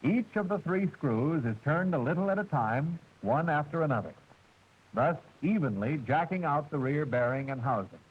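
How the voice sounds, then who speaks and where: A man narrating a 1940s military training film, with a precise, measured and formal delivery, heard through an old film soundtrack. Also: a little high, lively intonation, phone-quality sound, a little hiss or hum. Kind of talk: scripted instructional narration.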